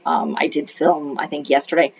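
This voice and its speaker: Middle-aged woman with a cold, gravelly. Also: sits low, fairly even intonation, moderate pace, a little hiss or hum. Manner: rambling, many ums and restarts. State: recovering from a cold, voice a little off. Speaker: retired librarian turned homeschool mom reviewing films. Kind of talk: casual film review vlog. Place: home in Arizona. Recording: dull lo-fi audio.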